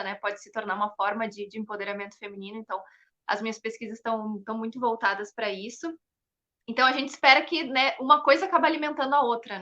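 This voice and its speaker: A young woman speaks as an interview guest in a bright, keen voice that sits on the high side. She explains things casually and with enthusiasm, dotting her phrases with "né". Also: dull audio. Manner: enthusiastic, frequent "né" tags. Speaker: interview guest, performing artist and researcher.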